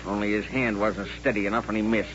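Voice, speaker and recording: An actor playing a sly, folksy saloon keeper with an American Western drawl in an old radio western. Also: male voice, fairly low pitch, fairly even intonation, fast talker, a bit bright, close to an everyday voice. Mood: sly, wheedling. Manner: folksy, joking, wheedling. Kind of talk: 1950s radio western acting. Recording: dull, noisy vintage radio recording.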